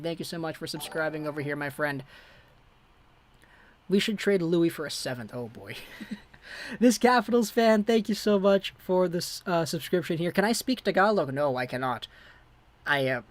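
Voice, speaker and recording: A man's voice: on the high side, no room sound. A young man hosting a casual hockey livestream, chatting loosely as he reads viewer messages aloud and thanks subscribers. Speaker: livestream host. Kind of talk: casual live chat, reading viewer messages.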